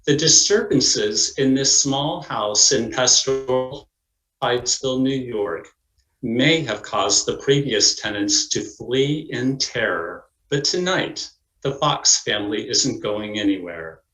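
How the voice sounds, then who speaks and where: A man giving scripted dramatic narration in a deliberate, theatrical manner. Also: medium pitch, a bit bright. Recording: echoey room, faint noise.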